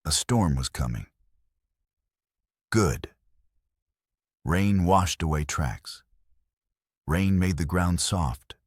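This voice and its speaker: Audiobook narrator: man with a rumbling deep, slightly rough voice, grave dramatic delivery of scripted fiction. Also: lively intonation, drawn-out words, long pauses, no room sound, clean studio sound.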